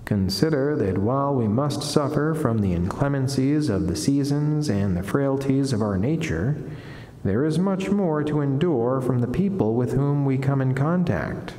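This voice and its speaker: Middle aged guy doing a solemn religious reading, mellow voice, slow careful phrasing, big chapel echo. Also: mid-range voice, lively intonation. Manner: measured, deliberate, formal. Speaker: friar reading a saint's life and reflection. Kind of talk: solemn devotional reading from a book. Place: wood-panelled chapel, indoors. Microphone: lectern gooseneck microphone. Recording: echoey chapel with long reverb.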